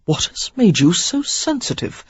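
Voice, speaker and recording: Woman reading as a sulky young gentleman. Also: fairly low pitch, very animated, unhurried, husky, dull audio, full character voice. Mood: defensive. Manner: stiff, defensive, chiding. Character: young man, sulky, mother-bound suitor.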